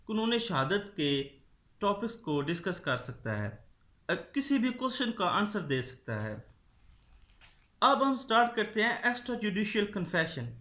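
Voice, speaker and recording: Pakistani guy doing a law lesson video, sharp bright voice, lots of room echo, repeats himself. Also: sits high. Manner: repetitive explaining, reads English quotes slowly and haltingly. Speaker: law lecturer. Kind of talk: informal video lecture on law. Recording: echoey untreated room.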